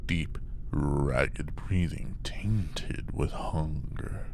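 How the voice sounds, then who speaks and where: A man playing an ominous, deadpan narrator, reading a scripted haunted mansion tale in a solemn manner for an audio drama. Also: a bass voice, extremely slow, a little hiss or hum, heavily acted. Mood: grim, ominous.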